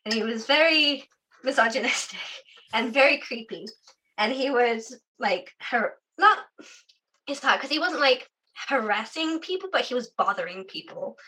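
Interview guest, young adult, from the UK, chatty with filler words, bright clear tone, sore voice. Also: female voice, a little high, animated, normal speed, rough recording. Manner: chatty, frequent "like" fillers, laughs often. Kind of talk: casual interview conversation.

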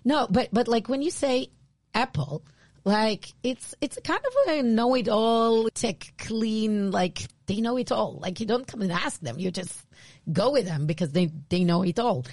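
A woman with an Israeli accent, co-hosting a podcast and explaining branding in a casual, animated way. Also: fairly high pitch, measured pace, no echo. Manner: animated, restarts often, laughs easily. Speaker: podcast co-host, branding expert.

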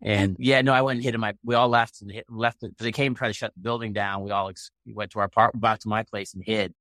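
A man's voice: lowish voice, steady tone, fast, fairly bright tone, no echo. A middle-aged American man from Maine telling his story casually as an interview guest, in a blunt, colloquial way. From Maine, United States. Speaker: interview guest, tech entrepreneur.

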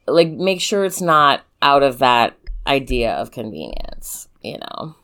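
A woman co-hosting a podcast in casual chat, expressive and quick to laugh, swearing playfully. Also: rumbling low. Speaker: podcast co-host.

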